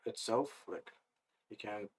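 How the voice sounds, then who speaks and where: A young man from Afghanistan, a guest in a casual interview, talking in a relaxed, rambling way with many fillers. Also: fairly low pitch, slow, husky.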